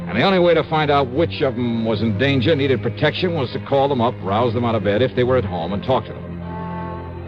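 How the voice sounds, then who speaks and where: An American actor playing a cool, sharp insurance investigator in a 1950s radio crime drama, in a clipped, casual manner. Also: male voice, mid-range voice, natural voice. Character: cool, sharp freelance insurance investigator, narrating his own case. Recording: dull old radio recording with music.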